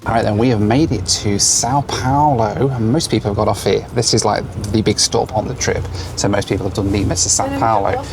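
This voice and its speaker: A man filming himself on a long-distance bus, hoarse and run down, talking in a tired, low-key, chatty way. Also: background noise. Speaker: travel vlogger. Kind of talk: travel vlog with voice-over narration. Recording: loud music under the voice.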